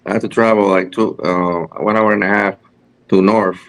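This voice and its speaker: A man from Rico, a guest wrestler chatting in an interview, halting with many fillers and restarts. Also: sits low, fairly even intonation. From Puerto Rico. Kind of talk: casual interview chat.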